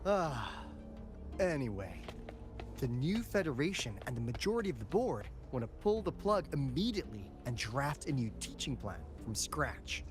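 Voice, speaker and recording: A man acting a breezy, very animated game character. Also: medium pitch, normal speed, music in the background, lightly acted. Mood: wry, candid. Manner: casual, teasing, expressive. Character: breezy, wry adult male academy insider briefing an auditor.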